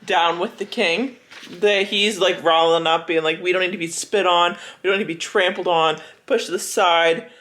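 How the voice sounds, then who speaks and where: A young adult woman chatting casually as a book vlogger, gushing and animated, with dramatic emphasis and plenty of "like" as filler. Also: on the low side, moderate pace. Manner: frequent filler "like". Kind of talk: casual reading vlog chat.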